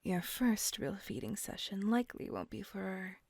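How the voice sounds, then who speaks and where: A woman voicing a kind, doting female vampire mistress in an audio roleplay, speaking in a soft, coaxing whisper close to the mic. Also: mid-range voice, fairly even intonation, moderate pace, gravelly, breathy edge, no echo.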